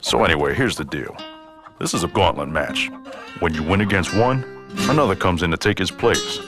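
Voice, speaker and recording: A really deep voiced beat up wrestler telling his story, wry and self-deprecating, game cutscene, music behind. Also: lively intonation, moderate pace. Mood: wry, hopeful. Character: battered amnesiac male wrestler, wry and determined. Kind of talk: first-person game cutscene narration.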